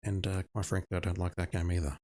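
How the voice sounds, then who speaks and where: A man's voice: low-pitched, little pitch movement, fast talker, darkish tone. A man whose first language is Russian, casually talking through a video game as he plays, musing and chatty, with game music behind him. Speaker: streamer. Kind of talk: casual gameplay commentary.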